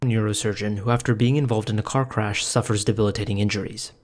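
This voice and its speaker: Low-voiced man narrating a scripted video essay. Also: fairly even intonation, quick pace.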